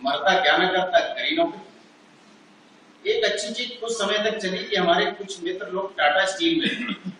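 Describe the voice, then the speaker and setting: A man's voice: high-pitched, moderate pace, pushed and bright, slight background noise. A man on stage reading out a wry, reminiscing reply letter about his working life, in an auditorium. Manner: wry, reminiscing, self-mocking. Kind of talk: stage play monologue. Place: large auditorium. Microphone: unamplified stage, far from the recorder. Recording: echoey hall from far off.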